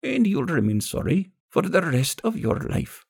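A man voicing a vengeful old woman in an audiobook, gravelly, scornful and menacing. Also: sits high, huge pitch swings, measured pace, a little mellow, voice pushed up, full character voice. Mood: cold menace. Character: vengeful, sinister old woman, bitter and grieving. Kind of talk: audiobook character acting.

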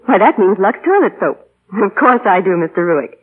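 A woman's voice: medium pitch, very animated, normal speed. A woman playing a chirpy, coy young woman in a scripted 1940s radio advertisement. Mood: perky, coy. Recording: tinny, rough 1940 radio broadcast.

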